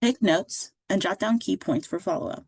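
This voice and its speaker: A woman presents scripted career guidance in a mid-pitched voice. Her delivery is measured and instructive, like narration for a training slideshow. The recording is close and dry, with very little room sound. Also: a little mellow, slightly rough, dry recording.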